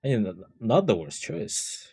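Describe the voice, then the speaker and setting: A man's voice: medium pitch, sing-song, measured pace, creaky, dark tone, breathy edge. A man giving casual game livestream commentary, muttering and thinking aloud to himself.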